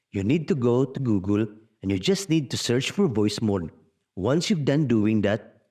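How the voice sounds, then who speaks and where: A man narrating a casual step-by-step software tutorial in an instructional way, with non-native-sounding phrasing. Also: medium pitch, husky. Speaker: tutorial host.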